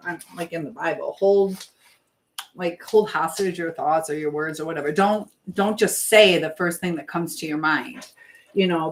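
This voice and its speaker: A middle-aged woman hosting a casual livestream, rambling and thinking aloud as she answers viewer comments. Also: mid-range voice. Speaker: livestream host. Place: at home while preparing food. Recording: clearly noisy livestream with clicks.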